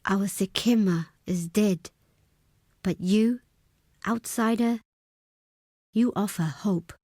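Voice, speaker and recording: Low-voiced, proud desert tribeswoman. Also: a little mellow, no echo, clean studio sound. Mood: sorrowful, bitter, then resolute. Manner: solemn, formal, imperious. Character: proud, stern desert tribe woman, second-in-command, wary of outsiders. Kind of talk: dramatic video game character acting.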